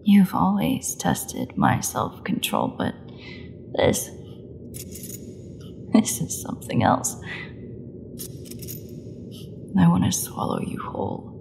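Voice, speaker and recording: A woman playing a starving, captive vampire in an audio roleplay, sardonic and teasing, often dropping into breathy half-whispers. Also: lowish voice, huge pitch swings, takes it slowly, pauses often, darkish tone, slight background noise, exaggerated voice. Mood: strained, resigned. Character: starving captive female vampire, taunting, flirtatious, desperate. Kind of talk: scripted audio roleplay monologue.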